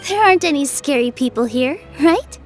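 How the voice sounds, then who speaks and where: Casting: sweet young game heroine, extremely high voice, gentle and reassuring, light music underneath. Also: female voice, expressive, moderate pace. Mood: encouraging. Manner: soothing, reassuring, upbeat. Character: gentle, encouraging young woman theater dancer. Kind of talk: animated video game voice acting.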